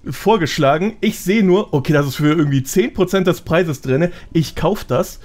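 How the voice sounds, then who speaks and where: A man's voice: a little high, moderate pace, darkish tone. A man talking casually as a podcast guest, explaining things in a chatty, self-deprecating way.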